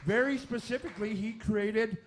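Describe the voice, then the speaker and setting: A man's voice: high voice, normal speed, slight background noise. A man hosting a comedy radio quiz, reading out questions in an irreverent, jokey way with wisecracking asides. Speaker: quiz host of a comedy radio variety show. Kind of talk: comic game show hosting with joke asides.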